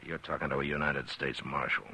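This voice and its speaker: A grave, watchful frontier lawman who speaks with calm, measured authority. His voice is very low and slightly husky with a bright edge. Old 1950s radio broadcast sound. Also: heavily acted. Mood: stern. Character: stern weary frontier marshal. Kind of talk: 1950s radio drama acting.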